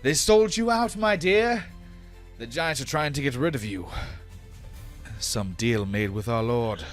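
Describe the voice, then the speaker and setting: A man voicing an alarmed, indignant male warhammer fighter in a tabletop game, loud and exclaiming. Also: medium pitch, lively intonation, unhurried, soft background music, pitched above the performer's own voice, natural voice. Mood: taunting.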